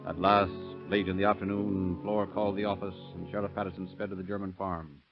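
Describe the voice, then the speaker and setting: A man's voice: deep voice, little pitch movement, brisk. A man narrating a scripted 1930s radio crime story in a formal, dramatic manner. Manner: formal, dramatic newsreel-like delivery. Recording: dull vintage radio recording. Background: loud-ish music.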